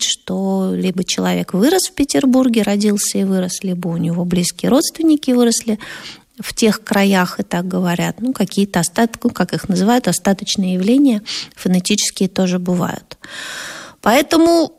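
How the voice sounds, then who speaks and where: Lively Moscow-accented woman linguist. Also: mid-range voice, normal speed, gravelly. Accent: Moscow Russian. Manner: lively, acts out pronunciations as she explains. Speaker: linguist giving a radio lecture. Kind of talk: conversational lecture. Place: radio studio.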